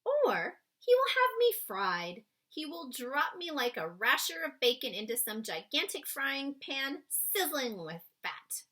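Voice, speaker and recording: A woman reading a children's story aloud expressively, with dramatic pauses, speaking to young listeners. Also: high-pitched, very animated, pushed and bright.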